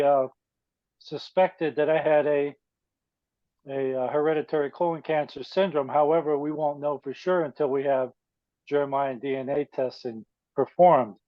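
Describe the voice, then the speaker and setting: A man in his fifties giving a measured, plainspoken personal talk to a support group, pausing often with fillers. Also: fairly high pitch, dry recording, dull audio. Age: 50s. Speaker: guest speaker.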